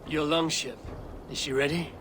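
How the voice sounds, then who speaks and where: An actor playing a grim, commanding Viking warrior leader in a dramatic video game. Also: male voice, a little high, steady tone, moderate pace, background noise, lightly acted. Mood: grave resolve.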